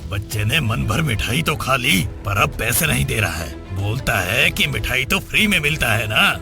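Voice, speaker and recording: Man playing a gruff, creaky sweet-shop owner. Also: medium pitch, big pitch swings, normal speed, over music. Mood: complaining. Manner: brusque, scolding. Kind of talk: children's cartoon story acting.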